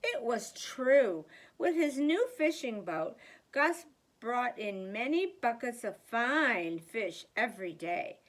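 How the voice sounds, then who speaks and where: Older woman reading with very animated pitch. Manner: slow, deliberate, animated emphasis. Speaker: grandmother reading a children's book aloud. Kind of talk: expressive storybook read-aloud for young children.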